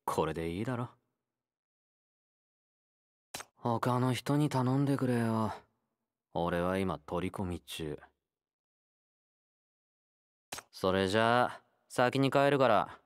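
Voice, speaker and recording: A man's voice: medium pitch, lively intonation, measured pace, a little hiss or hum, lightly acted. A male actor playing a laid-back young convict fighter in a game, blunt, teasing and nonchalant, with a drawl. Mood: lazy, nonchalant. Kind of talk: Japanese game character voice lines.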